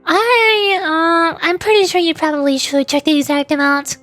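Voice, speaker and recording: A woman's voice: sits high, fairly even intonation, quick pace, dry recording, soft background music. A woman chatting casually and in a rambling way as she livestreams a game. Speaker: streamer, VTuber. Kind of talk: casual livestream chat while gaming.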